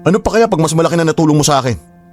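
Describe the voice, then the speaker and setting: A man playing a proud, fast-talking young teacher. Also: fairly high pitch, expressive, soft background music, close to an everyday voice. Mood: angry. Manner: defensive, quickly flares into shouting. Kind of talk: radio drama acting.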